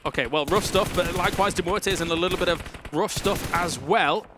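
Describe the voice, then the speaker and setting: A man giving energetic live play-by-play commentary on a mobile battle game tournament from a broadcast booth. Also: high voice, background noise. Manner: energetic play-by-play, quick reactions. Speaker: esports caster.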